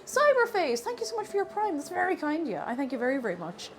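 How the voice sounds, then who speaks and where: A woman's voice: high voice, fast talker. An Irish woman with a sniffly cold, chatting casually with viewers while cooking on a live stream. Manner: chatty and animated, thanks viewers warmly.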